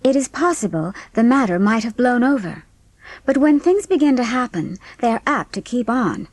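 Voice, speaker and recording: A woman's voice: a little high, big pitch swings, moderate pace, no echo. A woman reading scripted audiobook narration of a story as its narrator.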